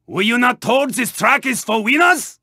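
An actor voicing a proud, intense, honour-bound racing car in a video game, with a Japanese accent, a raspy voice and clipped, formal delivery. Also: male voice, extremely high pitch, animated, moderate pace, sharp tone, dry recording, studio quality, full character voice. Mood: stern, indignant. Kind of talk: video game voice clips, short barks.

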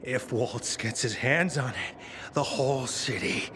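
A man playing a weakened, urgent man in a video game cutscene, speaking gravely and haltingly. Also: mid-range voice, a bit bright, lightly acted. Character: urgent, weakened man entrusting a vital key. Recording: clear ambient noise behind voice.

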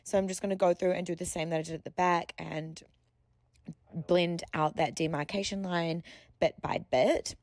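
Low-pitched young woman, casual tutorial voice-over. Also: fairly even intonation, normal speed, a little mellow, no echo. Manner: chatty, informal, explanatory. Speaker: hair colourist giving a tutorial.

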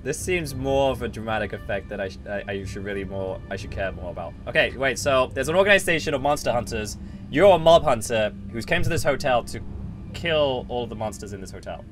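A young man hosting an improvised Minecraft roleplay, animated and laughing as he talks over his partner. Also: medium pitch, fairly quick, soft background music. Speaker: roleplay channel host playing a ghost hotel worker.

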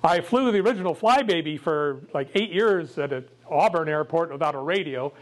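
An older man with a loud voice gives a casual, jokey talk to a club meeting, speaking without a microphone. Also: a little high, expressive, a little fast, low-quality recording. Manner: jokey, self-deprecating, chatty with laughs. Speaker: guest speaker.

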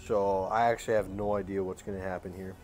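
A man's voice: low-pitched, level tone, fast talker, a little mellow, soft background music. A young man making prank calls as a video host, chatting casually in a slangy, jokey way, his voice cracking at times. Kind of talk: casual prank-call chat.

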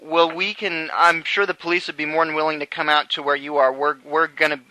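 A man's voice: fairly high pitch, little pitch movement, fairly quick, bright, forceful tone. A man on a phone call speaking as a manager, hesitant and repeating his words. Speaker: prank caller posing as a dealership manager. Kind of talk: phone conversation, improvised prank.

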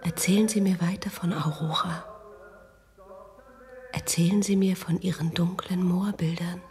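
An actress playing a calm, probing therapist in a radio play, coaxing in a soft, whispery voice. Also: female voice, lowish voice, unhurried, soft background music, natural voice.

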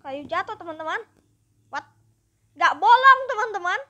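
A gaming YouTuber giving upbeat, chatty let's-play commentary and constantly addressing the viewers. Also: female voice, very high voice, animated, moderate pace, pushed and bright, dull audio.

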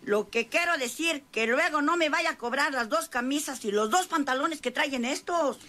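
A woman's voice: high voice, normal speed, pushed and bright. An actress playing an aggrieved, insistent female ranch servant in a radio serial, with a Mexican accent. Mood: complaining. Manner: complaining, rustic, insistent. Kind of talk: old radio serial acting.

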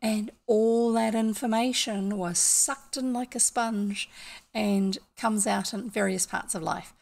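A woman's voice: medium pitch, steady tone, slow pace, vocal fry, darkish tone. An older woman from New Zealand with a New Zealand accent, chatting casually on a home stream, rambling and explaining with many asides. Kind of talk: casual storytelling chat. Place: small home room, indoors.

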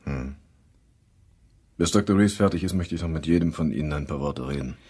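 An actor playing a calm, probing police inspector in a German crime radio play, measured and matter-of-fact. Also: male voice, a bass voice, husky. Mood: businesslike, probing. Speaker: actor in an audio drama.

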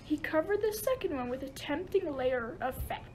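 A woman's voice: sits high, expressive, normal speed, darkish tone, a little hiss or hum. A woman narrating a myth as scripted storytelling for an amateur radio play.

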